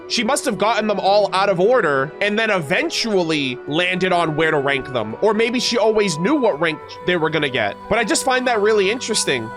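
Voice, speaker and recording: Male gaming streamer, high-pitched, informal and slangy, reading and reacting over clear background music. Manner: informal, slangy, animated asides. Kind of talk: casual reaction commentary mixed with reading aloud. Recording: music playing behind the voice.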